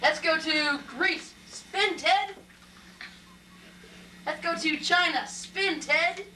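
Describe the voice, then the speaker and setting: Puppet show traveler, played by a woman: excited, chanting, high and slow, roomy distant recording. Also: exaggerated voice. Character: eager traveler calling out trips, excited, chanting. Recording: roomy, distant mic, faint noise.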